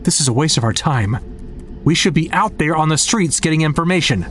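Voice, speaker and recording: An actor playing an impatient, hotheaded young soldier in an audio drama. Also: male voice, on the high side, lively intonation, brisk, a bit bright, a little hiss or hum.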